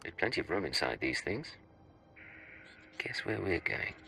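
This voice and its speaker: An actor playing a cold, cocky Imperial secret agent in a video game, talking in a dry, clipped, sardonic way. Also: male voice, sits low, very animated, a little slow, vocal fry, sharp tone, full character voice, poor audio. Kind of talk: video game cutscene acting. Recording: game audio over music.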